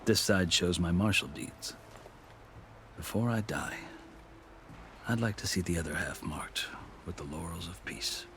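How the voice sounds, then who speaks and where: An actor playing a proud, war-weary middle-aged army commander, speaking in an eloquent, formal, deliberate way for a video game cutscene. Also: male voice, deep voice, noisy background. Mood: solemn.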